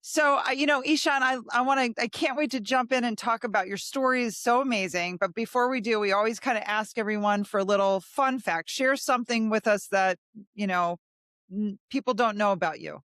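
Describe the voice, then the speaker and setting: A woman's voice: on the high side, fairly quick, fairly bright tone, dry recording, studio quality. A woman hosting a podcast interview, chatty and enthusiastic, with frequent fillers and restarts. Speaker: podcast host. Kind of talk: interview, casual chat.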